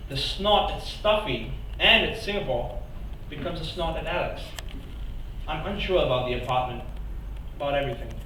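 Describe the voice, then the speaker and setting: A man giving an expressive, playful live reading of a first-person story on a theatre stage. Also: a little high, slight background noise. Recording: echoey theatre, mic far off.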